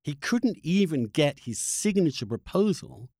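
A man's voice: a little high, very animated, measured pace, darkish tone, no room sound, studio quality. A man hosting a political podcast, challenging his guest in an emphatic, incredulous way. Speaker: podcast host. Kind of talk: combative political debate.

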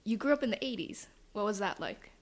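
Young woman host, medium pitch, friendly upbeat interviewer. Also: animated, fairly quick. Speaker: podcast host. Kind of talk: casual podcast interview. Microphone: shared microphone.

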